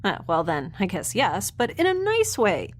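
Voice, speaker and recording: A woman's voice: medium pitch, huge pitch swings, moderate pace, slight background noise. Chatty, eager young space mutineer woman. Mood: cheerful, awkward. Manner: rambling, cheerful, informal. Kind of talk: audio drama acting, conversational.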